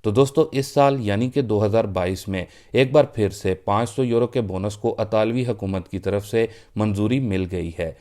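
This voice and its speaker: A man's voice: on the low side, moderate pace, few pauses, mellow. A man presenting a news roundup for his online channel, reading the updates steadily and addressing viewers as friends. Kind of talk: read news update in Urdu.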